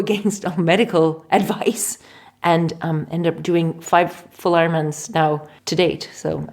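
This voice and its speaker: A woman in her forties from Canada, a physiotherapist guest telling stories in a chatty, animated way in a casual interview. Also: fairly low pitch. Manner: chatty, animated storytelling with laughs.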